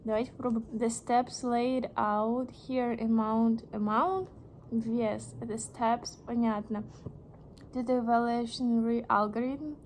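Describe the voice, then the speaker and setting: A young woman whose first language is Russian, reading an English book aloud haltingly and stopping to puzzle over words. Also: fairly high pitch, fairly even intonation, a little mellow, a little hiss or hum, poor audio. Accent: Russian, reading English with a slight American tinge. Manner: slow, halting reading with restarts and puzzled asides. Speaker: vlogger.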